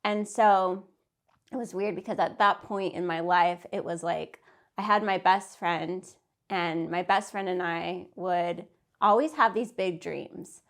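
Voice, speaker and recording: A woman's voice: on the low side, steady tone, a little slow, fairly bright tone. A woman in her thirties, a business owner, telling her story in a casual, chatty interview. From Santa Fe, New Mexico, US. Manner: chatty, with "like" fillers and light laughs.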